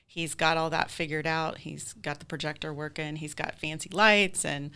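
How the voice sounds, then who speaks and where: A woman with an American accent and a low, bright voice talks as an interview guest. Her delivery is clear, with some gravelly creak, and she explains things in an informal, chatty way. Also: steady tone, moderate pace. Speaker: guest, theater director. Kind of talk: casual interview chat.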